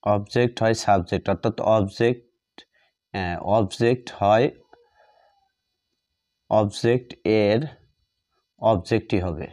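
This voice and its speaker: Slow-spoken, mellow man teaching, Bengali accent. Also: lowish voice, dry recording, clean studio sound. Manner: repeats phrases step by step, dictation-like. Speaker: English grammar teacher. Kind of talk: classroom lesson, explaining while writing out examples.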